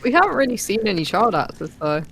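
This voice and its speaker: Animated movie reaction co-host with joking asides. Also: mid-range voice, fast, creaky. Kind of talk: casual reaction commentary over a film.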